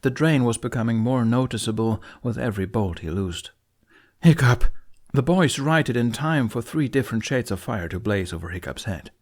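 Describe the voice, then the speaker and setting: A man's voice: medium pitch, lively intonation, brisk, few pauses, a little mellow. Man dramatically narrating a fantasy audiobook.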